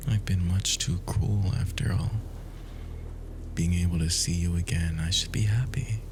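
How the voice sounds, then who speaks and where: A man playing an obsessive, wounded and cruel immortal lover in an ASMR roleplay, breathy and half-whispered, with menacing endearments. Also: sits low, background noise. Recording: music bed behind the voice. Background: music.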